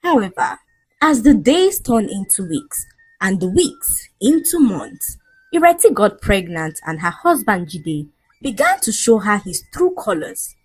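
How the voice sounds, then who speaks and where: A woman's voice: mid-range voice, very animated, unhurried, husky, rough recording. A woman narrating a folktale in a scripted, dramatic storytelling style.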